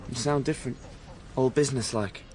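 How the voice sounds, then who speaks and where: A man's voice: mid-range voice, brisk, darkish tone, a little hiss or hum, close to an everyday voice. A young man acting a blunt, romantic working-class drifter in a radio play, with colloquial, plain-spoken delivery. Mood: incredulous. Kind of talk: radio play acting and first-person narration.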